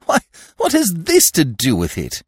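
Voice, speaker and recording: A man reading aloud and voicing a testy, blustering elderly nobleman who grows shrill when crossed. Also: huge pitch swings, unhurried, dry recording, clean studio sound, heavily acted. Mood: impatient. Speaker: provincial lord and godfather.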